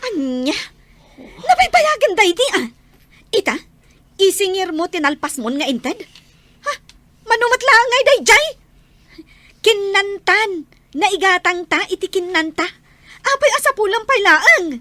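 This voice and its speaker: Very high, bright Ilocano woman acting a mother. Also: big pitch swings, a little slow, long pauses, dry recording, exaggerated voice. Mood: scolding. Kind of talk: Ilocano radio-style drama acting.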